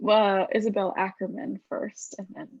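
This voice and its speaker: A woman's voice: medium pitch, little pitch movement, moderate pace, darkish tone, no echo. Dry, slightly dull-sounding woman moderating. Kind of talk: casual event hosting. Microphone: video call.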